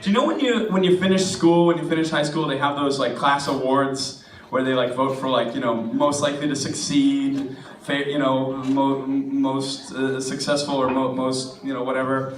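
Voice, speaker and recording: A man in his twenties with an American accent, hoarse from a cold, doing a rambling, self-mocking stand-up set in a bar. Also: mid-range voice, steady tone, normal speed. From United States. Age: 20s. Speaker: stand-up comedian. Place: comedy club stage in a bar. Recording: echoey room recorded from a distance.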